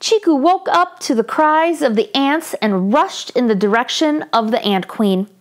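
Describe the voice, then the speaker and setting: A woman reading a children's story aloud in an expressive, deliberate storytelling style. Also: high voice. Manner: animated, deliberate storytelling delivery. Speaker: children's story reader, channel host.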